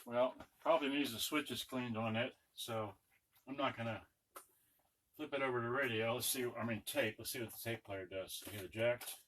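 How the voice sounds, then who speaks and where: A man talks through a hands-on gadget demo in a casual, muttering way, as if half to himself. His voice sits at a middling pitch. The recording is clearly noisy, with clicks from handling. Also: moderate pace, a bit bright. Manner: muttering to himself while working. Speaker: electronics unboxing presenter. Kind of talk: casual hands-on demonstration commentary. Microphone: camera on a tripod.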